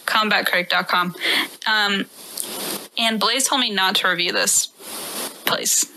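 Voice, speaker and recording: A woman's voice: mid-range voice, fairly even intonation, measured pace, pushed and bright, slight background noise, poor audio. A woman co-hosting a comedy podcast, mixing dramatic reading with casual banter.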